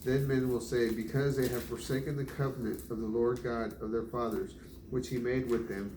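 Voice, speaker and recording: A man's voice: medium pitch, darkish tone. Roomy, distant recording of man reading scripture. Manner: measured, deliberate, stumbles over some names. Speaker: Bible reader. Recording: roomy, distant mic, noisy background.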